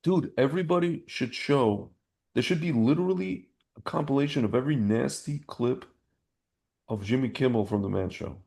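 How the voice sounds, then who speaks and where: Gravelly man in casual, rambling podcast chat. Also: mid-range voice, moderate pace, darkish tone. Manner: rambling, slangy, repeats and breaks off phrases. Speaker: podcast host.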